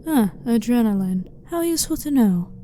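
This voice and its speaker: Very mellow, blunt alien scientist played by a woman. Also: fairly high pitch, expressive, natural voice. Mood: blunt, clinical. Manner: blunt, formal, matter-of-fact, measured. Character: blunt, clinical but harmless female alien scientist. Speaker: ASMR voice performer. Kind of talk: ASMR sci-fi roleplay. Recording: clearly noisy ambient background.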